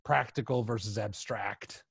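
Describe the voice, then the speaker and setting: A man's voice: lowish voice, measured pace, creaky, a bit bright, slightly rough. A middle-aged American man in casual discussion as a podcast host, speaking into a desk microphone. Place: home room with sofa, video call. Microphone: desk microphone with headset.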